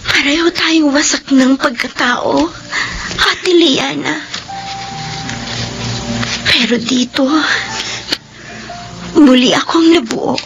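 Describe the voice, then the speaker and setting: Earnest young woman with a painful past: high, unhurried, solemn, radio drama over music. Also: low-quality recording. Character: wounded young woman caring for sick children, earnest. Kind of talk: Filipino radio drama acting.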